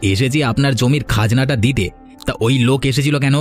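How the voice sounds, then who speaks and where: Man doing village grumbler, dismissive, unhelpful, average pitch, moral story cartoon. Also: normal speed, soft background music, natural voice. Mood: nosy, scornful.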